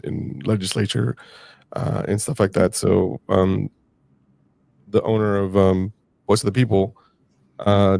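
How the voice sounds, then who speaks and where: A man co-hosting a podcast, with a gravelly voice, talking in a joking, halting way full of fillers. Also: lowish voice, darkish tone, no echo. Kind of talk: casual podcast conversation.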